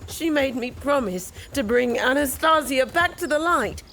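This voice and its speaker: A woman's voice: high-pitched, lively intonation, a little slow, a bit bright, exaggerated voice. A woman playing a grieving, embittered, headstrong girl in an audio drama, with faint noise in the background. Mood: bitter grief.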